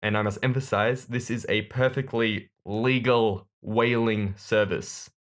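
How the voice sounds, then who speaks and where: A man playing an earnest, defensive whaler in a comedy monologue, halting and deadpan like an awkward announcement. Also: on the low side, natural voice. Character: earnest, defensive man pitching a dubious whaling business.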